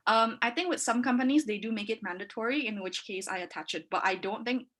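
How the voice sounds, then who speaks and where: A woman's voice: on the high side, quick pace, a bit bright. A young woman from Indonesia giving casual, chatty advice on a panel, talking freely with lots of filler words. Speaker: panel speaker.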